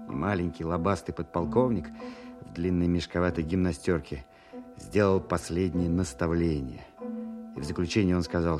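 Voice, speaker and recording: An actor playing a proud young army captain and war veteran, lovestruck and resentful, in a measured, expressive audio drama performance. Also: male voice, deep voice, lightly acted. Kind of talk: Soviet-style audio drama, first-person narration and dialogue.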